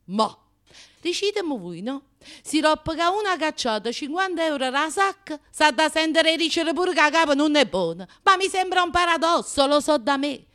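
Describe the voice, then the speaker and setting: Flamboyant neapolitan diva, really high voice, dramatic and confiding, vain but wounded, radio play monologue. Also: female voice, expressive, moderate pace, a bit bright. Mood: wry, exasperated. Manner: theatrical, confiding, colloquial, emphatic. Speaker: radio theatre actress.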